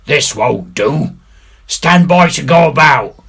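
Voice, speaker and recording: A man playing a bold, shrewd pirate ringleader in a storybook reading, with salty, emphatic, drawn-out speech. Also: fairly high pitch, expressive, creaky, fairly bright tone, slightly rough, pitched above the performer's own voice, heavily acted. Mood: rallying.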